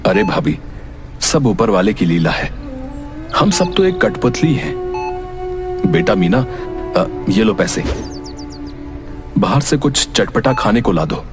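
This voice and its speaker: An actor playing a kindly, pious village neighbour in a cartoon story, folksy and exclamatory. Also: male voice, lowish voice, big pitch swings, slow, long pauses. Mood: warm, impressed. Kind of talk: cartoon moral story acting. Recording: music behind the voice.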